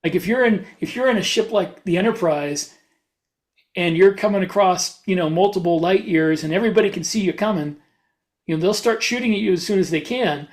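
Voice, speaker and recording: A man's voice: high-pitched, brisk. A man co-hosting a podcast, explaining things in a matter-of-fact, casual way during a group discussion. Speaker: podcast co-host.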